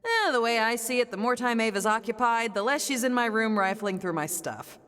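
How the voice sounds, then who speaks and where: A woman's voice: on the high side, expressive, fairly quick, fairly bright tone, long reverb tail. A woman playing a wry, practical treasure hunter in a video game, dry and clipped. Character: wry, laconic female vault hunter, coolly practical. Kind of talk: video game character dialogue.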